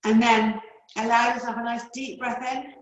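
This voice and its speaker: A woman yoga teacher leading guided relaxation and breathing in a calm, soothing, unhurried way with long pauses. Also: a little high, highs cut off. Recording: roomy, echoey indoor sound.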